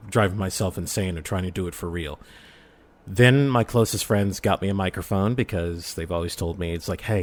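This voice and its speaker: A man with a fairly low voice speaks as an interview guest. He tells his story in a casual, conversational way, with the enthusiasm of a keen storyteller. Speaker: podcast guest, amateur voice actor and audio drama creator.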